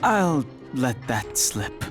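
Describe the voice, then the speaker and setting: A man voicing a calm, reserved, practical young man in a video game. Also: medium pitch, extremely slow, music in the background.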